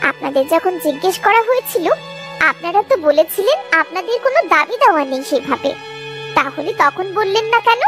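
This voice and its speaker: A woman's voice: very high voice, expressive, fairly quick, heavily acted. A woman voicing a dutiful, earnest young woman of the household in a cartoon family drama. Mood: hurt, firm. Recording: loud music behind the voice. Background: music.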